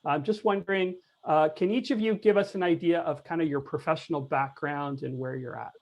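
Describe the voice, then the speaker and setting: A man with a slightly high voice hosts an informal interview. He speaks conversationally and haltingly, putting questions to his guests as a church discussion host. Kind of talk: friendly loose interview, halting.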